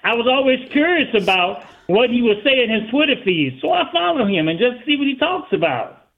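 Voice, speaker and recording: A man from Arizona calling a radio show, stubbornly repeating himself. His voice is very high and moves a lot in pitch. It comes through a narrow, tinny phone line. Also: big pitch swings, fairly quick, vocal fry. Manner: defiant, insistent, repeats his points. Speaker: caller.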